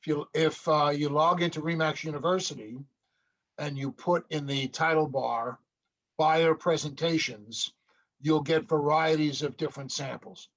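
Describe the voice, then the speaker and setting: A man's voice: on the high side, fairly even intonation, a little slow, fairly bright tone. A man explaining things informally to a group during an online meeting, heard over lo-fi call audio.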